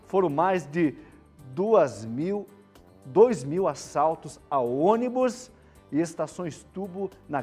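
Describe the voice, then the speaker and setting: A man's voice: high voice, a little mellow. A man presenting a live variety show in a chatty, colloquial manner. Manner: chatty, colloquial, checks in with listeners. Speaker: television show host. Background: background music.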